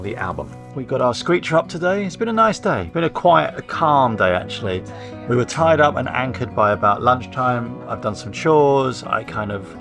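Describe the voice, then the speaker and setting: Casual sailing vlog guy, 40s, rambly and wry, boat deck, music playing behind. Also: medium pitch. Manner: chatty, wry, rambling asides. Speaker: sailing vlogger. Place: aboard a catamaran, outdoors and in the cockpit. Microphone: handheld camera close to face.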